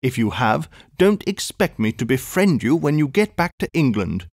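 A man playing a stern old bank gentleman. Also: mid-range voice, big pitch swings, normal speed, no echo, studio quality.

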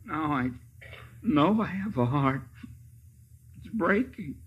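Very raspy, tender tin man. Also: on the high side, lively intonation, slow, dull audio, full character voice. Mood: heartbroken. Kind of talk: 1950s radio acting.

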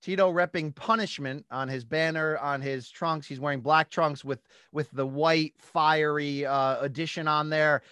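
Male podcast host, 40s, American. High voice, dry close mic, punchy sports commentary with interview questions. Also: steady tone, measured pace, hardly any pauses, sharp tone, smooth, dry recording. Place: small home studio with posters. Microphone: studio mic on a boom arm, close.

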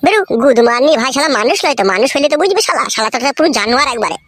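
Man doing a squeaky, fast-scolding cartoon buddy. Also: animated, fairly bright tone, full character voice. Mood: fed up. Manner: foul-mouthed, hectoring. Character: scolding, exasperated young male friend. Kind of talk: crude comedy cartoon dialogue.

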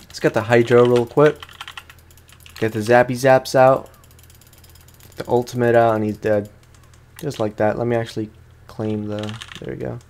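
A man's voice: medium pitch, darkish tone. A man giving casual, rambling, slangy commentary as he plays a video game for his viewers. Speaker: gaming streamer. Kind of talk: casual let's play commentary.

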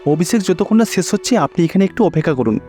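A man's voice: high voice, expressive, fast, mellow. A man playing a kindly, helpful young traveller in a cartoon, polite and reassuring. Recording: faint music behind.

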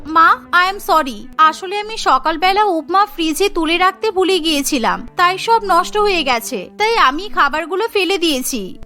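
A woman's voice: very high voice, expressive, normal speed, pushed and bright, faint music behind, exaggerated voice. A woman voicing a young, sly and hungry daughter-in-law in a cartoon, aggrieved and scheming. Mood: apologetic, innocent. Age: young adult. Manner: grumbling, scheming, feigning innocence.

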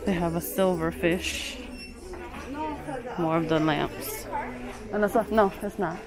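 A woman's voice: lowish voice, fairly even intonation, slow pace, crowd noise. A woman narrating a casual shopping vlog on a handheld phone inside a large home goods store, chatting about items and reading prices aloud. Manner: chatty, keeps calling things cute, reads prices aloud. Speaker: shopping vlogger. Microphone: handheld phone camera. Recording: store recording with music playing behind.

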